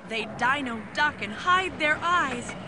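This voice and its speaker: A woman reading a rhyming children's picture book aloud in an expressive, measured manner. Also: high voice, background noise. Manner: expressive, measured rhyming delivery with acted exclamations. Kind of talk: read-aloud children's story narration.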